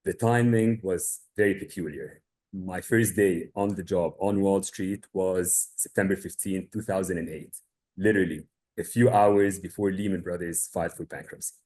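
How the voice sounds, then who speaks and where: A low-pitched man in his thirties from Egypt speaks over a video call. He recounts his background in an even, measured way and often pauses on filler sounds. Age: 30s. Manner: measured storytelling with frequent uh fillers. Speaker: guest, family business owner. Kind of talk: webinar presentation.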